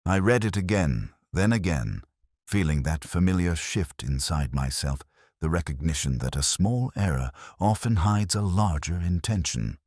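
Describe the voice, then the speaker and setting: A man narrating a first-person detective story in a measured, literary and brooding manner. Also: low-pitched, expressive, a little mellow, no room sound, studio quality.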